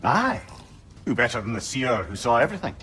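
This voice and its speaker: A man's voice: mid-range voice, sing-song, brisk, fairly bright tone, in a large hall, faint music behind. An actor playing a wry, learned old advisor in a video game, eloquent and old-fashioned in his wording. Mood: assured, explanatory. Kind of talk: cinematic video game acting.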